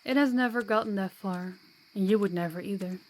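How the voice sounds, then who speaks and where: A woman's voice: mid-range voice, brisk, a little mellow, natural voice, low-quality recording. Female vampire guide voiced by a woman, mid-range voice, chatty, kind and teasing, for an audio roleplay. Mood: playful, teasing. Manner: chatty, reassuring, playful.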